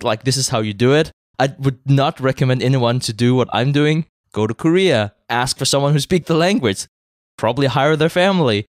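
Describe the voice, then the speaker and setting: A man's voice: medium pitch, no echo, studio quality. A man from Denmark with a Danish accent, speaking English as a second language as a podcast guest, in a conversational way with many fillers and repeated words. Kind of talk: interview conversation.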